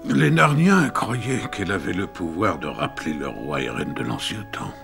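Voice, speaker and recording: A man playing a learned, gentle older male tutor who speaks softly and confidingly. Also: deep voice, big pitch swings, moderate pace, gravelly, over music, exaggerated voice.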